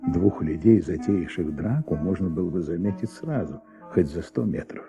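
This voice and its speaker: A calm police investigator in a radio drama. The voice is lowish, gravelly and very dark, and it moves in measured, polite, probing phrases, with music underneath at times. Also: male voice. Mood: reflective. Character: calm, methodical male police investigator questioning a young witness. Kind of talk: Soviet radio play acting.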